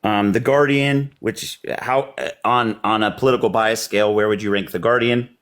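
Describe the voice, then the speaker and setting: Combative rambling man, low voice. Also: fairly even intonation, moderate pace, a bit bright. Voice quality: slightly hoarse. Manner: combative, rambling, many fillers. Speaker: debate caller. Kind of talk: heated political argument.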